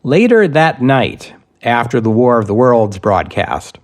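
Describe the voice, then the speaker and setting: A man hosts a scripted history podcast. His mid-pitched voice moves through the material in measured, deliberate phrases, as a narrator reading prepared text. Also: very animated.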